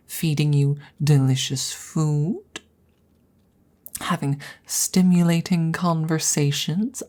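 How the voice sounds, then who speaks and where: A woman voicing a polite, earnest, slightly awkward female dragon in an intimate ASMR roleplay, speaking softly and formally with hesitant stammers and frequent whispering. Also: low-pitched, heavily acted. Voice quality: breathy, soft, often slipping into whisper.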